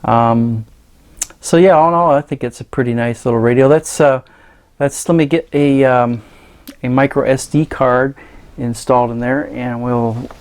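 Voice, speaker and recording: A man gives a casual hands-on product demo. His mid-pitched voice has a gravelly fry to it. He speaks in a halting, folksy, understated way. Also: fairly even intonation, darkish tone. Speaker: radio gadget reviewer.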